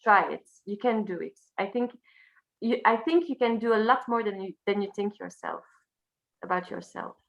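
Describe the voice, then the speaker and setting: A woman from Brussels with a Belgian French accent, a native French speaker talking casually as an interview guest in halting English, searching for words. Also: medium pitch, gravelly, dull audio. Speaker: interview guest, singer and teacher.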